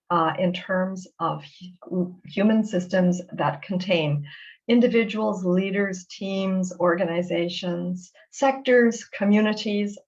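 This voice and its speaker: A woman hosting an interview over a video call, enthusiastic and a little halting, with some restarts as she introduces her guest. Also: lowish voice, darkish tone, muffled recording. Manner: enthusiastic, with frequent restarts and fillers. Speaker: interview host. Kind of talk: warm conversational interview.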